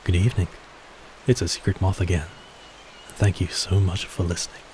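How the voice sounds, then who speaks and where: A man speaks in a low, deep voice as the host of an audio roleplay channel. He delivers an outro thanking listeners, with noticeable watery ambience running behind him. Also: little pitch movement, moderate pace, a little mellow, a little hiss or hum, poor audio.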